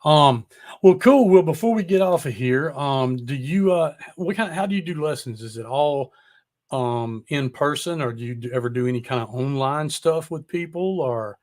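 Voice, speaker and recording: A man's voice: a little high, expressive, moderate pace, darkish tone. A man hosting a podcast, talking in a loose, casual conversational style. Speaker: podcast host, interviewer. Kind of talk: casual interview chat.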